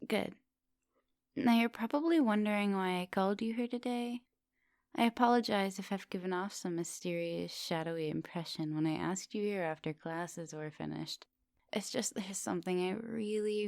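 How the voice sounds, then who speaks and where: A woman playing a coaxing, soft-dominant vampire professor in an intimate ASMR roleplay, speaking with polite, formal phrasing. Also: mid-range voice, vocal fry, no room sound, studio quality, close to an everyday voice. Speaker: teacher.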